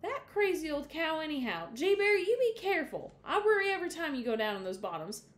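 A woman's voice: high-pitched, sing-song, fast, pitched above the performer's own voice. A woman reading aloud, voicing a fretful mountain mother who anxiously scolds and warns her son. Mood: worried. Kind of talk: storybook read-aloud.

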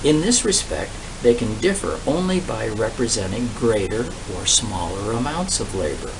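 A man in his sixties reads a speech aloud outdoors, with deliberate, emphatic phrasing, pauses and repeated words. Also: lowish voice, creaky. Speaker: reader of a political economy text. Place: outdoors on a brick-paved patio. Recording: distant mic, steady outdoor background noise.

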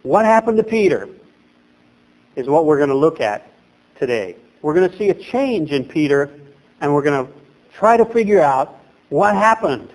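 Man, thirties, pastor, emphatic gesturing preacher, higher voice, small chapel, distant camera. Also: very animated, moderate pace, pauses often, a little mellow. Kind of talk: sermon.

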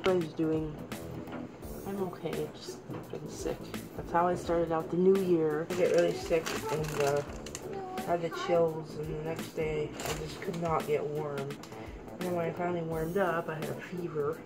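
Woman, 30s, low gravelly voice, getting over a cold, home kitchen vlog, music playing underneath. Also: flat delivery, unhurried. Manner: chatty, talking to camera while cooking. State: losing her voice from a cold. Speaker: vlogger. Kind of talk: casual home cooking vlog. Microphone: camera on the counter.

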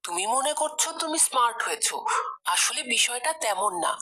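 Woman playing a husky, preachy cartoon grandmother. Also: fairly high pitch, animated, normal speed, sharp tone. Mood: admonishing. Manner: preachy, instructive. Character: elderly pious grandmother, admonishing and earnest. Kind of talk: animated cartoon dialogue.

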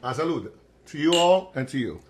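A man's voice: a little high, big pitch swings, normal speed. A middle-aged man with a slightly raised voice talks his viewers through a recipe in a home kitchen. He is chatty and affectionate and keeps joking along the way. A faint hiss of room noise sits under his voice. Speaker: cooking show host. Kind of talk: home cooking demonstration.

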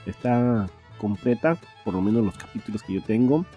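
A man hosting a podcast, giving an informal, halting spoken introduction. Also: on the low side, lively intonation, slightly rough, lo-fi sound. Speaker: podcast host. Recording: music behind the voice. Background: guitar music.

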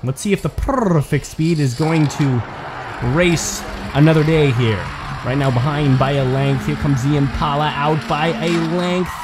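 A man giving play-by-play commentary on a toy car race, building excitement at close finishes. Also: fairly high pitch, a little slow, noisy background.

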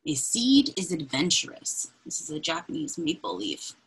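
A woman reading a children's picture book aloud in an expressive, deliberate, breathy way, adding informal asides as she points things out. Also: deep voice, poor audio. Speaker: read-aloud reader. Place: indoors, small room with bookshelves.

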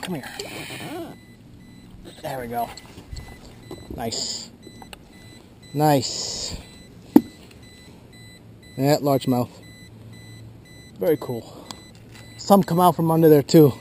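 A young man vlogging while fishing from a boat outdoors, talking casually with lots of filler words, getting excited when he hooks a fish. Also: fairly high pitch, drawn-out words, long pauses, background noise. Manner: excitable, laughing, many "uh" fillers. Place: outdoors on a small boat on a river.

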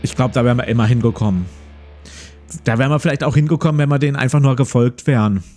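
A man's voice: mid-range voice, quick pace, warm tone. A man in his forties hosting a let's play, reading a gamebook aloud and commenting casually. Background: plucked guitar music.

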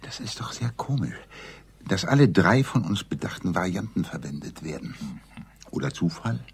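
An actor playing a droll, measured clergyman and amateur sleuth in a German radio crime drama, deadpan and deliberate. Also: low-pitched, gravelly, husky, exaggerated voice. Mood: pondering.

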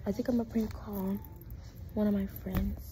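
A young American woman chatting casually to camera in an informal, slangy, halting way, sometimes dropping into hushed asides. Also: mid-range voice, level tone. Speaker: vlogger making prank calls. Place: small indoor room. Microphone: phone held at arm's length.

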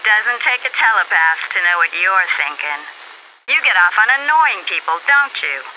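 A woman's voice: mid-range voice, animated, moderate pace, bright, forceful tone, a little hiss or hum, poor audio. An actress playing a young female military lieutenant in a video game, curt, sardonic and businesslike over a radio. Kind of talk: video game unit quotes.

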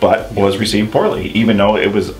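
A man in his thirties co-hosting a gaming show, chatting casually with slangy, animated opinions. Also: fairly low pitch, a little fast. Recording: roomy echo, music underneath. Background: music.

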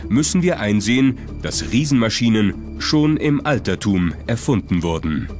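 Low-voiced man narrating over music. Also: very animated, moderate pace. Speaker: documentary narrator. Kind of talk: scripted documentary narration. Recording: prominent music bed under voice.

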